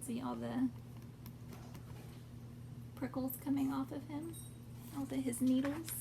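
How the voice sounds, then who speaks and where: A woman reading a storybook aloud expressively to young children, pausing to explain things simply. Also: high voice, steady tone. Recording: clear background noise.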